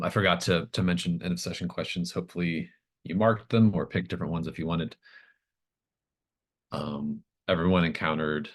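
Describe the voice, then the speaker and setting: Very deep-voiced man running a game. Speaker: game master of a tabletop roleplaying session. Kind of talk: casual wrap-up talk with players.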